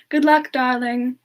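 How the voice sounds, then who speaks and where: A woman voicing a sweet, affectionate demon character in a semi-ASMR livestream chat, with a gently giggly, endearing manner. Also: high-pitched, full character voice. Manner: gently giggly, affectionate, calls listeners darling. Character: Frostbyte, sweet affectionate demon woman, internet slang novice.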